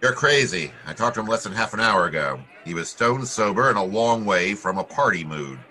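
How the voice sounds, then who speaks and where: Hard-boiled American gumshoe male actor, low, forceful voice with a husky edge, wry and clipped, vintage radio noir. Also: expressive, brisk. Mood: brusque, probing. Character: hard-boiled, wry male private detective narrating his case. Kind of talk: 1940s radio detective acting and narration.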